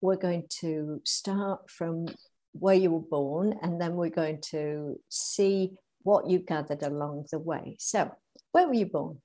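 Woman podcast host, lowish voice, warm encouraging questions, keeps the guest going. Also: takes it slowly. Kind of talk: interview questions.

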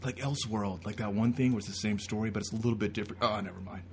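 A man's voice: on the low side, steady tone, rapid-fire, a little hiss or hum. A man cracking crude, irreverent jokes as he riffs over a comic film, sometimes slipping into silly voices. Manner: breaks into silly put-on voices and noises. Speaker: comedy riffer. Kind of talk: joking riff commentary over a comic film.

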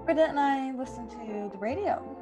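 High-voiced, put-upon young dog sitter played by a woman. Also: moderate pace, over music, close to an everyday voice. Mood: weary, curt. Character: weary, jealous young female dog sitter. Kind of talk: comedic radio play acting.

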